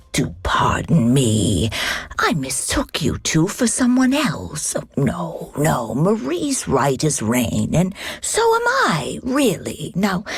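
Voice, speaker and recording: An actress playing a nervous, secretive elderly aunt in a game cutscene, speaking in a fluttery, genteel way. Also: female voice, deep voice, creaky, husky, full character voice. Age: older.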